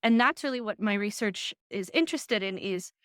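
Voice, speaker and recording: A young woman vlogging casually from her living room, chatty and animated, with quick asides, while recovering from an illness. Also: mid-range voice, no echo, clean studio sound. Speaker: vlogger and design lecturer. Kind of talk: chatty casual vlog update.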